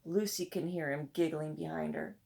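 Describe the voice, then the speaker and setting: A woman reading a children's storybook aloud in expressive narration. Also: low voice, moderate pace, vocal fry. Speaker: storybook reader.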